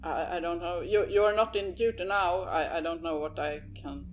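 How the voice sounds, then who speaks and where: A man's voice: on the high side, steady tone, highs cut off, natural voice. Man playing a stammering, harried cop. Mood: urgent. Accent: Swedish. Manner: stammering, hurried. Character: harried male police officer on the phone.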